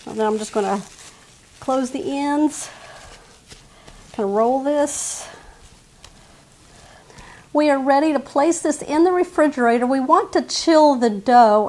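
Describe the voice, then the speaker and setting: A woman's voice: on the high side, darkish tone. Woman walking viewers through baking. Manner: friendly step-by-step instructions to viewers. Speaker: cooking channel host. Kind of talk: casual cooking demonstration. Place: home kitchen, indoors.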